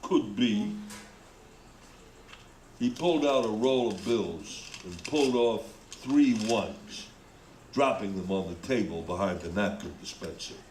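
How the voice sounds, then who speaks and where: A man's voice: fairly low pitch, lively intonation, measured pace, darkish tone. A man reading scripted narration for a radio play. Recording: roomy, with faint background noise.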